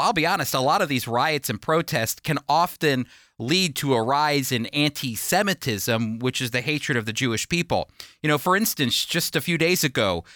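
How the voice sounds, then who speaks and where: An American man with a bright, forceful voice teaches the Bible as a radio host. His delivery is conversational but earnest and emphatic, at an ordinary male pitch. The recording is dry and close. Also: no echo.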